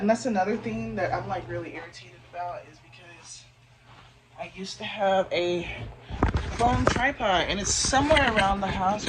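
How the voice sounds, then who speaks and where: Young woman vlogging on her phone, deeper voice for a girl, chatty, lots of likes, bit of background hiss. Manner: chatty, candid, many fillers like "like" and "you know". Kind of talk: casual talk to camera. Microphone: phone camera.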